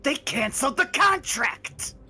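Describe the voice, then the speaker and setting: A man voicing a drunk, furious male thug who shouts threats in a video game read-along. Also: very high voice, very animated, measured pace, soft background music, pitched above the performer's own voice, exaggerated voice. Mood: enraged shouting.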